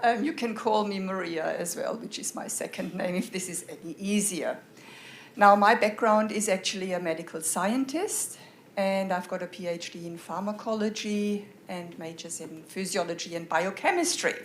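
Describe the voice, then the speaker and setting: A woman's voice: mid-range voice, normal speed, slight background noise. A woman with a German accent, a science lecturer, explaining her courses in an orderly way. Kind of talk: self-introduction and course overview.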